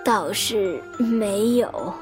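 A woman's voice: medium pitch, drawn-out words, music in the background. An actress playing a courteous, apologetic woman in an audio drama, speaking politely and with great deliberation. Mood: apologetic, reserved.

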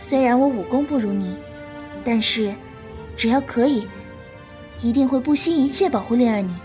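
A woman plays a gentle young martial arts disciple in a period radio drama. The voice is high, affectionate and coaxing, an elder sister's tender way of talking, with faint music underneath. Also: moderate pace, lightly acted. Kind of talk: Chinese period audio drama acting.

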